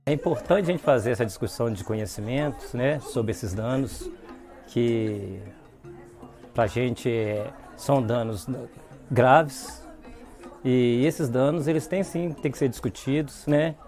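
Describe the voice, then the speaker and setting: A man's voice: mid-range voice, a little mellow. A Brazilian man speaking as a community member in interview testimony.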